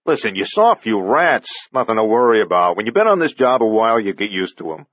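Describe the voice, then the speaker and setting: A man voicing an impatient telephone repairman in his forties who speaks gruffly, on a slightly dull recording. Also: on the low side, sing-song, quick pace, no room sound, pitched above the performer's own voice.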